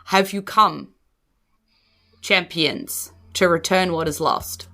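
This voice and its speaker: Lady doing a grave old water spirit guy, weary, formal, low slow delivery for a dnd game. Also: bright, forceful tone, soft background music, full character voice. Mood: solemn. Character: weakened male water guardian creature, solemn, ancient.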